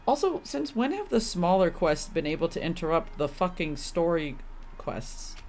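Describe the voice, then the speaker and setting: An exasperated streamer talks through a video game in a casual, grumbling way, with a medium-pitched voice and some swearing. Music plays behind the voice, along with the clatter of typing. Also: big pitch swings, moderate pace, darkish tone, a little hiss or hum. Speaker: livestreamer playing a video game.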